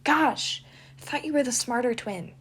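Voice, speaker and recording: A woman voicing a brash, mischievous young man, a crude and chaotic twin brother who exclaims and teases loudly in an audio story. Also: high-pitched, normal speed, slightly rough, voice pushed up. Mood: exasperated, scornful. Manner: loud, exclaiming, teasing.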